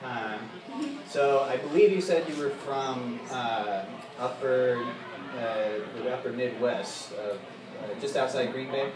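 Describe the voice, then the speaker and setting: A man co-hosting a live comedy show, reading a mock news report in a halting, dry way full of "uh"s. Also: mid-range voice, noisy background. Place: comedy bar stage with live audience. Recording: echoey live room from far off, loud crowd.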